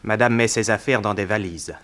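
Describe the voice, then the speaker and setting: A man's voice: on the low side, a little fast, fairly bright tone, natural voice. An actor playing a deferential, sly manservant in a vintage radio drama, formal and obsequious in his address. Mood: discreet. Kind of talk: 1960s French radio drama acting.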